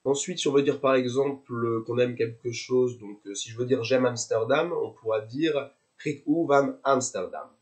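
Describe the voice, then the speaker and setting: A young French man with a French accent, teaching basic phrases by saying each one slowly and repeating it. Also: mid-range voice, steady tone. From France. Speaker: language tutor. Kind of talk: slow, patient language lesson.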